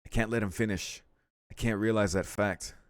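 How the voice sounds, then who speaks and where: A man's voice: lowish voice, fairly even intonation, normal speed, no echo. A man reading visual novel narration aloud dramatically as a let's play host.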